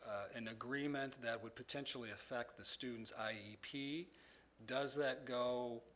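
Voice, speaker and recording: A man's voice: mid-range voice, fairly even intonation, a bit bright. Man explaining at a presentation. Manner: measured, explanatory, with frequent ums and restarts. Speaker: presenter from a state education agency. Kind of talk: presentation answering audience questions.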